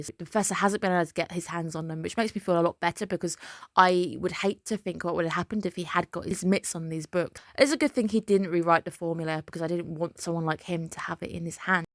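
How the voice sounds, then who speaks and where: A woman's voice: lowish voice, fast, no echo, studio quality. A woman, a little under the weather, chatting casually and opinionatedly through an anime episode breakdown as the channel's host. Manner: opinionated, chatty, emphatic dislikes. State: slightly ill, under the weather.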